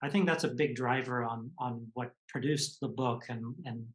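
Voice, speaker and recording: A man in his sixties from the United States, telling his life story in a measured, reflective way as an interview guest. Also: medium pitch, steady tone. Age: 60s. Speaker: guest author and executive coach. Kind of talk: conversational interview answers.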